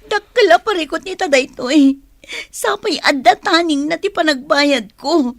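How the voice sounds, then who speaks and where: A woman's voice: sits high, measured pace, no room sound. A woman acting a fretful, scheming female office worker in an Ilocano radio drama. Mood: worried, tearful.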